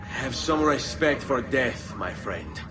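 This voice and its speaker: An actor voicing a young, vengeful Italian assassin in a video game, with an Italian accent and a terse, controlled, solemn manner. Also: male voice, mid-range voice, sing-song, slow pace. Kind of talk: video game dramatic acting. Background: music.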